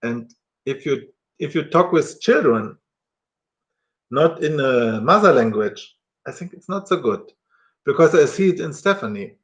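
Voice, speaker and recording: A middle-aged German man talking casually to camera in halting, self-correcting English with a German accent. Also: on the high side, animated.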